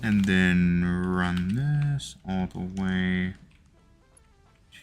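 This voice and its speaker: A man's voice: low-pitched, lively intonation, drawn-out words, soft background music. A man streaming a building game, chatting casually and cracking crude jokes as he builds. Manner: crude joking banter while building. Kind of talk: casual gaming stream chat.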